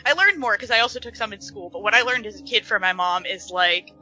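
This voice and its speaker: A woman's voice: medium pitch, fast talker, sharp tone, lo-fi sound, soft background music. A woman from the US chatting casually as a podcast guest, giggly, with lots of fillers. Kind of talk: casual chat.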